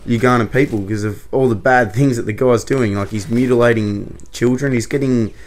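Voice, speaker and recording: A young man talks to his camera in a loose, opinionated commentary. His voice sits at an ordinary middle pitch. He wanders off track, corrects himself and slips in small laughs. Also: steady tone, fairly quick. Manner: rambling and opinionated, self-correcting with short laughs. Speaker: YouTuber.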